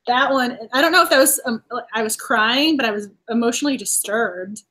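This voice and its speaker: Chatty woman with a higher voice. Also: a little fast, pushed and bright. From Boston area. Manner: chatty, laughs often, restarts while avoiding spoilers. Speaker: host. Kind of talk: casual video chat about books.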